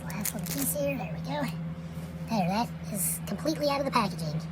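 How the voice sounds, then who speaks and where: A woman giving casual unboxing commentary as a toy reviewer, relaxed and chatty, with plenty of fillers and trailing phrases, recorded indoors at night. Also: fairly high pitch, brisk. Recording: home recording with steady background noise.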